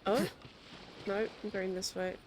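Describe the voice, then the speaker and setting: A woman streamer with a medium-pitched voice gives casual live gaming commentary. She mutters to herself, with clear game noise behind her. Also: fairly even intonation, unhurried, darkish tone, rough recording.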